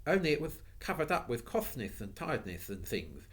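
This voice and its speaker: A man's voice: mid-range voice, sing-song, a little fast, a bit bright, voice pushed up. A man voicing an earnest, kind young girl who talks soothingly.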